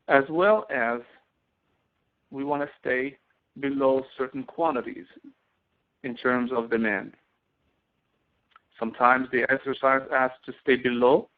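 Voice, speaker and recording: Man teaching, tinny phone-like sound. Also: mid-range voice. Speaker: instructor. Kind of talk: screen-recorded software tutorial, explanatory. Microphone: voice-over on a screen capture. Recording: tinny, phone-like narrow-band voice recording.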